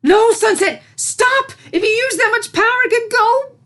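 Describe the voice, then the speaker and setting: A woman voicing a caring pony princess and mentor, with a gentle, concerned, regal manner. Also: very high voice, normal speed, pitched above the performer's own voice. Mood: alarmed.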